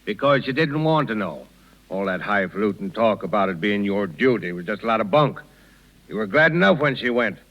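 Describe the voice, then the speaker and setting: A man's voice: fairly low pitch, expressive, brisk, fairly bright tone. An actor playing a self-important, meddling older townsman with a patronizing manner in a 1930s radio drama. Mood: defensive, indignant. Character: pompous, meddling older male town worthy. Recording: muffled, lo-fi 1930s radio recording.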